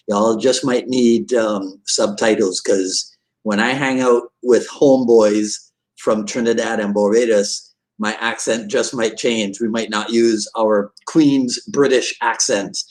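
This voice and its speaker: A man's voice: lowish voice, rough recording. A man hosting a martial arts podcast, talking in an upbeat, chatty way and joking with his listeners. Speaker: podcast host. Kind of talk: casual interview talk and sign-off.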